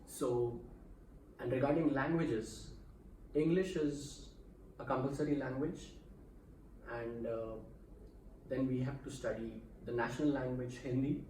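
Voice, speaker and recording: A man from Mumbai explains things calmly and in a measured way, pausing often with "uh." His voice is mid-pitched. The mic sits at a distance in an echoey room, with a faint hum underneath. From India, Mumbai. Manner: measured, explanatory, frequent "uh" pauses. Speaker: interview guest. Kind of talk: casual interview.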